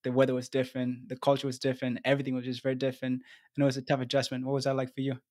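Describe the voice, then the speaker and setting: A man's voice: mid-range voice, completely flat delivery, rapid-fire, darkish tone, no echo, clean studio sound. A young man from Ethiopia hosting a casual interview podcast, laid-back and easygoing with plenty of slang. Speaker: podcast host. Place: small room indoors. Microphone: studio mic on desk.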